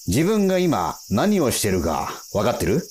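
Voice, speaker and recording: An actor in a radio drama playing a gruff, cocky time-patrol officer, rough and condescending, easily irritated. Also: male voice, mid-range voice, wildly swooping pitch, normal speed, darkish tone, slight background noise. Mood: mock polite. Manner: condescending, rough interrogator talk.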